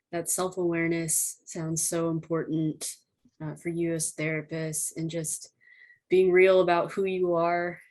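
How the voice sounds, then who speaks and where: A woman moderating a therapist panel, speaking in an encouraging, measured way. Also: fairly low pitch, a little mellow, poor audio.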